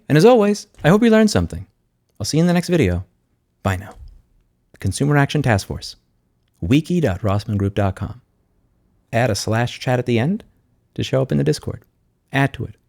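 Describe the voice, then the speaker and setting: Male video host, lowish voice, very dry sound, informal and sardonic, plain-spoken advocate talking off the cuff. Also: creaky, darkish tone, no echo. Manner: blunt, sardonic, informal with swearing. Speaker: YouTube host and consumer rights advocate. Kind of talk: unscripted vlog monologue, screen walkthrough.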